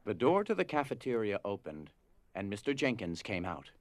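A man's voice: fairly low pitch, big pitch swings, moderate pace, no echo. A man narrating a scripted dramatic story. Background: music.

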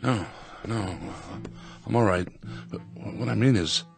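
An actor playing a grumbling, folksy American diner owner with a sardonic manner in a radio drama. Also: male voice, fairly low pitch, measured pace, vocal fry, over music. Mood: weary, puzzled. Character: wry, grumbling roadside diner cook and owner.

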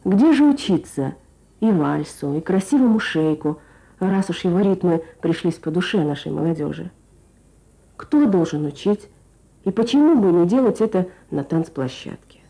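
A woman's voice: on the low side, animated, normal speed, gravelly, mellow, low-quality recording. A woman presenter reading a scripted feature in the style of Soviet radio. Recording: archive radio broadcast with music.

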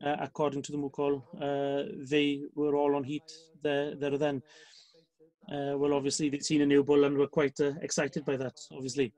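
A man's voice: fairly high pitch, normal speed, no room sound. A man, a farmer, talking casually about his herd while sitting in a barn, hesitant and full of fillers. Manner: flat, hesitant with many fillers. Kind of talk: casual discussion. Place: farm barn outdoors.

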